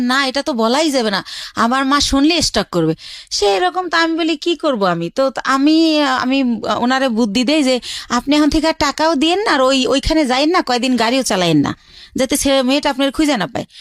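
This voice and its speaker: A woman's voice: high voice, lively intonation, brisk, dry recording, studio quality. A young woman with a colloquial Bangladeshi Bengali accent tells her life story conversationally, retelling exchanges as reported dialogue line by line.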